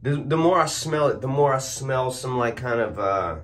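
A young man with a hoarse voice reviewing soap in a casual, laid-back way, recorded on a phone camera at arm's length in a small room at home. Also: medium pitch, animated, moderate pace. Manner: laid-back, chuckles at his own jokes. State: hoarse, losing his voice. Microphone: phone or webcam at arm's length.

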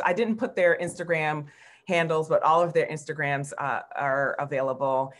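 A woman with an American accent and a low voice gives an informal slide talk over a video call. She speaks reflectively, pausing and repeating words for emphasis as she goes. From Newark, New Jersey, United States. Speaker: art history professor, guest speaker. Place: indoor room at home, on a video call. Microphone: video call computer microphone.

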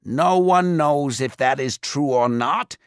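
A man voicing a small, grieving male house brownie who speaks in slow, singsong rhymes with a gravelly voice. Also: medium pitch, dry recording, studio quality, pitched above the performer's own voice, natural voice. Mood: solemn. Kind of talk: audiobook character voice.

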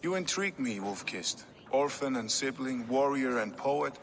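An actor playing a commanding, magnanimous Viking king with formal, measured speech in a video game. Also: medium pitch, lively intonation, slight background noise, close to an everyday voice. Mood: calm, magnanimous. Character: commanding, magnanimous Viking king holding court in his hall. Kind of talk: dramatic video game acting. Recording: long hall reverb, music behind.

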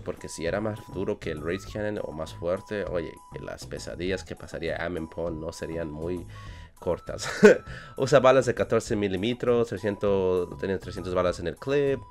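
A young man with a low, deep voice chats casually through his Spanish, which carries an English accent. He restarts his words and laughs often, as a gaming YouTuber recorded at a desk mic. Quiet music plays faintly underneath. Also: animated, moderate pace. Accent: English-speaking learner of Spanish. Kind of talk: casual game commentary. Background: soft background music.

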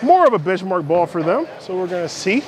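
A man's voice: sits high, big pitch swings, a little fast, a crowd in the background. A middle-aged American man casually reviewing and demonstrating a product in a bowling alley, explaining in short conversational bursts. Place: large indoor bowling alley. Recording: clattering bowling alley noise. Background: bowling alley clatter and ambience.

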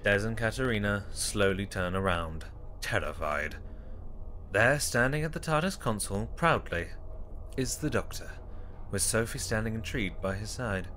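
Low-pitched male audio drama narrator. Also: expressive, normal speed, pushed and bright, faint music behind. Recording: faint background noise.

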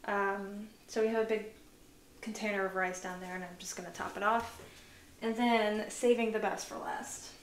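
A woman's voice: mid-range voice, moderate pace, slight background noise. Chatty woman in an echoey room. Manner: relaxed, chatty, lots of fillers. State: tired. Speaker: vlogger. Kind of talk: casual grocery haul chat.

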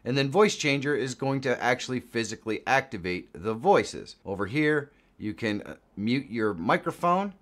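A man hosting a tutorial, explaining software controls in a casual, conversational way. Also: mid-range voice, expressive, normal speed, hardly any pauses. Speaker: tutorial host.

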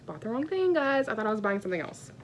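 A woman's voice: a little high, big pitch swings, fast talker. An American woman in her thirties chatting casually and candidly to camera in a rambling, conversational way, recorded on a handheld phone at home. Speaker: home vlogger. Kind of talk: casual chat showing groceries to camera. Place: indoors in a home kitchen. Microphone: handheld phone at arm's length. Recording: clearly noisy home recording, crinkling packaging.

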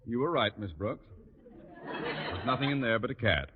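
An actor playing a pompous, flustered, middle-aged school principal in a 1940s radio sitcom, with blustering, formal, theatrical delivery. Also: male voice, mid-range voice, lively intonation, normal speed, long reverb tail, crowd noise, natural voice. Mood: stern, fussy. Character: pompous, flustered, easily exasperated older male school principal. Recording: muffled, noisy 1940s radio recording.

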